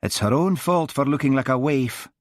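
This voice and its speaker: A man voicing a lazy but shrewd policeman with a Scottish Highland accent, drawling and quietly threatening. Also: moderate pace, no room sound, studio quality, lightly acted. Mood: curious.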